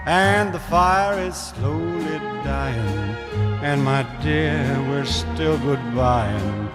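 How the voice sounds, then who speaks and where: A man's voice: mid-range voice, expressive, drawn-out words. A man singing a Christmas standard. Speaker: singer. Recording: music recording with instrumental backing. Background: loud musical accompaniment.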